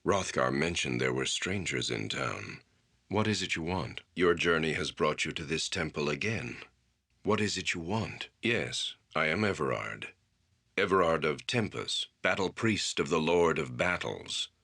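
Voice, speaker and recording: Very deep, raspy warrior priest. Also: male voice, expressive, unhurried, pushed and bright, heavily acted. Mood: guarded, stern. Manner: terse, stern, declamatory. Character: stern, scarred veteran battle priest, guarded and proud. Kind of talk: fantasy game voice acting.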